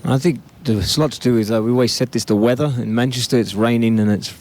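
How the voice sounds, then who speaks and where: A man's voice: mid-range voice, fast talker, darkish tone. A man from England, a musician answering interview questions in a laid-back, reflective way. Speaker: musician guest.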